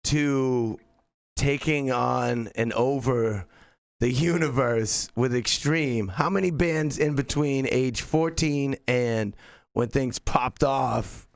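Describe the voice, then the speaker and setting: A man hosting a podcast interview, chatty and teasing with quick interjections. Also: mid-range voice, creaky. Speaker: podcast host. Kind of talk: casual interview chat.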